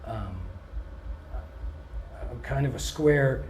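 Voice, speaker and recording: A touring blues singer and guitarist with a scratchy voice, answering interview questions in a relaxed, thoughtful way and often pausing on "um". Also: male voice, medium pitch. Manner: relaxed and thoughtful, many ums, restarts now and then.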